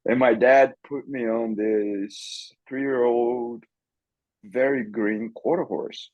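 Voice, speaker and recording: A man from Brazil with a Brazilian Portuguese accent, a podcast guest telling his story in a relaxed, easygoing way. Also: mid-range voice, slow pace, no echo, muffled recording. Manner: relaxed, chuckles often, repeats words as he thinks. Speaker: farrier school director, guest. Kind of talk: casual interview storytelling.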